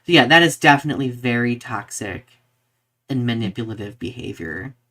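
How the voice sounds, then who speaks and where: A man co-hosting a live podcast in casual discussion, halting and peppering his talk with "like" and questioning tags. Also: mid-range voice, animated, pushed and bright. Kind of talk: casual discussion, reading viewer comments.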